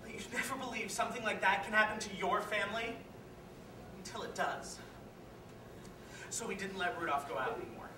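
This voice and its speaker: Woman doing a guilty bitter reindeer parent, dramatic monologue, quiet then loud, roomy echo and noisy recording. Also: medium pitch, fairly quick, long pauses, close to an everyday voice. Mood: guilty, subdued. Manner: confessional, swinging from hushed to shouting. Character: bitter, guilt-ridden reindeer parent, washed-up herd worker. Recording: echoey, distant, noisy live room recording.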